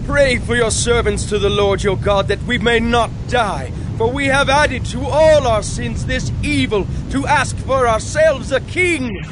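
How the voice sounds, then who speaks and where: A terrified, repentant commoner in a biblical audio drama. His voice is extremely high for a man, pleading and fearful, against clear rumbling noise. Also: unhurried, hardly any pauses.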